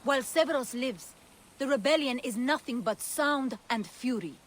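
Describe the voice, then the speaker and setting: Fierce, high-voiced rebel. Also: female voice, animated, normal speed. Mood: bitter, angry. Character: fierce, bitter female rebel fighter and mother. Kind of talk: video game cutscene acting. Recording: faint outdoor ambience noise.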